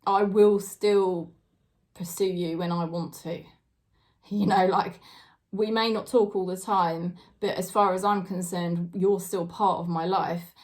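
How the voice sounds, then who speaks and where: A woman in her forties with a British accent, giving a casual tarot reading to camera and talking in a chatty, hesitant way with many fillers and soft laughs. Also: lowish voice, fairly even intonation, measured pace. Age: 40s. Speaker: tarot reader.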